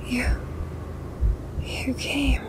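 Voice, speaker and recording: Injured woman, acted by female narrator: very slow, whispered, weak and halting. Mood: weak relief.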